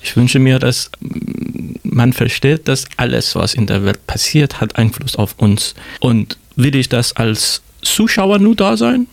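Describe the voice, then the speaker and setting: A man's voice: medium pitch, expressive, unhurried, gravelly, hardly any pauses. A man from Iran, a native Persian speaker with a Persian accent, speaking hesitantly as a guest in a radio discussion. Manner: hesitant, non-native phrasing. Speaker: interview guest. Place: radio studio.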